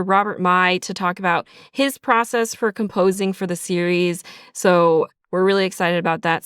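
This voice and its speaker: A woman's voice: mid-range voice, fairly bright tone, clean tone, dry recording, clean studio sound. A woman speaking as an interview guest, explaining her creative writing work in a casual, conversational way. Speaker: audio drama writer and creator, interview guest.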